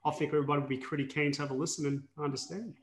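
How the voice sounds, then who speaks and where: A man's voice: fairly high pitch, level tone, very fast, reverberant. A man hosting a casual interview, enthusiastic and encouraging, with plenty of fillers. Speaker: podcast host.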